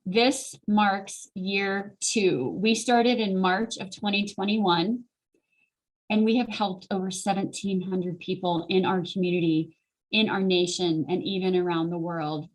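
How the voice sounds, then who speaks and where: A woman's voice: on the low side, steady tone, measured pace, low-quality recording. A middle-aged woman speaking as host and career coach in a motivational online talk, earnest and conversational, addressing her listeners directly. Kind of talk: motivational webinar presentation. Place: online video call.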